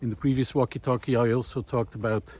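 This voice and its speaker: A man with a medium-pitched voice records a casual philosophical monologue outdoors on a woodland trail. He speaks without a script, musing in a halting way and pausing to find his words as he thinks aloud. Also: fairly even intonation, a little mellow. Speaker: host of a recorded monologue series.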